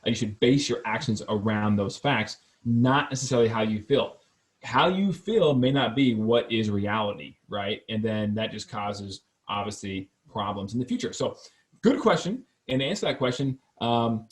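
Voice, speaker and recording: A man's voice: fairly low pitch, normal speed. A man hosting a real estate podcast from an office, talking casually and with energy, joking and tagging his points with "right?". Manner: energetic, joking, fact-focused, says "right?" often. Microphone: podcast microphone.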